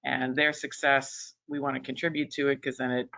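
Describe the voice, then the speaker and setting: A woman in her fifties talks in a loose, chatty way, full of fillers and restarts. Her voice is gravelly and bright with an edge of tension. It comes over a video call with muffled webinar audio. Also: extremely low pitch, little pitch movement. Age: 50s. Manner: chatty, many fillers and restarts, quick to laugh. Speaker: resume writing business owner and panelist. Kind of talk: conversational webinar discussion.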